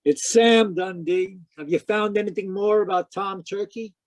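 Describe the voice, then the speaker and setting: A man's voice: sits high, moderate pace, dry recording, close to an everyday voice. A man playing a sardonic, wisecracking private detective, narrating an old-time radio mystery in a wry scripted style. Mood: urgent, sardonic.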